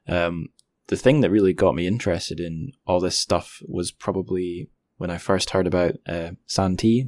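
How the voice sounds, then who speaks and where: Low-pitched man, casual podcast host. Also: darkish tone, no echo. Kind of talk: casual, thoughtful interview chat.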